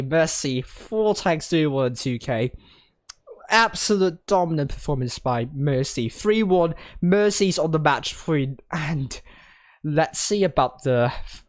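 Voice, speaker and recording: An esports caster calling a live match, hoarse and losing their voice, getting excited and shouting during fights. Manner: excitable, rising to high exclamations during fights; rapid name-listing with frequent fillers and restarts. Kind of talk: live play-by-play commentary.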